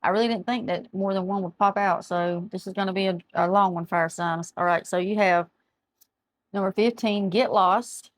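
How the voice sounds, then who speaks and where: A middle-aged woman, chatting through a tarot reading and reading aloud from a card book, her voice going hoarse as she often loses her words. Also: medium pitch, a little hiss or hum. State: losing her voice. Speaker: tarot reader. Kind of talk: casual tarot reading to camera. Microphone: camera mic, speaking to camera.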